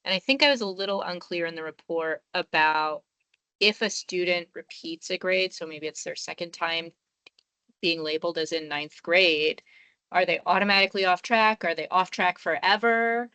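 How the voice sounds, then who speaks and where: A woman at a meeting asks a thoughtful question, hesitating a little as she goes. Her voice is lowish and bright, and the sound is dry. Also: moderate pace, smooth, no room sound. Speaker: meeting participant. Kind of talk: asking a question.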